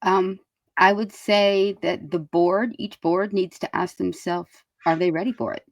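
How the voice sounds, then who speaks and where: A woman's voice: lowish voice, steady tone, unhurried, dry recording. A woman speaking as a school board member in a panel interview, emphatic and given to rhetorical questions.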